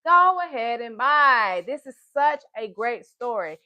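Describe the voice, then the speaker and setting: A woman hosting a children's storytime livestream, chatting to small children and parents in an animated, encouraging, sing-song manner. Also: fairly high pitch, a little slow, no echo, dull audio.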